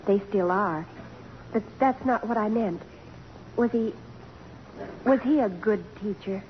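A woman's voice: medium pitch, slow pace, close to an everyday voice. An actress playing an earnest, admiring young college girl in 1950s radio drama, polite, hesitant and eager. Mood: earnest, uneasy. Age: young adult. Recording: dull, noisy, reverberant vintage radio recording.

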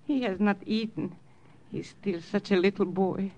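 A woman playing a gentle, anxious European grandmother with a pleading, tender manner in an old-time radio drama. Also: mid-range voice, steady tone, unhurried, highs cut off, a little hiss or hum, natural voice. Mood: tender, worried.

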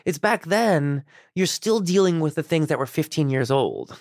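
A man who grew up in Iran and speaks Persian as his first tongue, sharing memories as a guest. His voice sits a bit high, and he rambles with many fillers and restarts. The sound is dry and close to the mic. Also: lively intonation, normal speed, vocal fry, darkish tone, no echo. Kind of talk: candid conversational storytelling.

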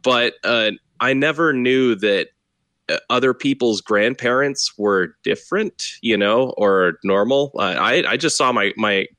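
A stand-up comedian from Texas chatting in an interview, telling rambling self-deprecating stories with lots of fillers and laughter. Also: male voice, medium pitch, a little slow, sharp tone, no room sound. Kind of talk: casual interview chat.

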